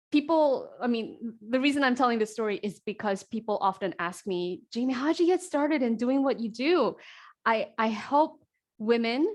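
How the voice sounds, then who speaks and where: A woman in her forties, an executive coach hosting an online group coaching call, talking in an energetic, conversational way with many fillers. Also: on the high side. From South Korea, raised in New Jersey. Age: 40s.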